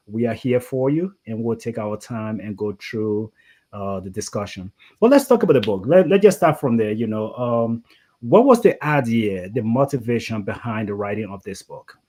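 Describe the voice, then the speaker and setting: A man's voice: mid-range voice, warm tone. A man hosting a conversational interview, rambling and often saying "you know". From Liberia. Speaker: talk show host.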